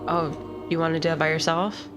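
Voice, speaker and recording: A woman with a fairly low voice takes part in a tabletop role-playing game. She speaks in a casual, informal way. Clear background music plays under her. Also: little pitch movement, fairly quick.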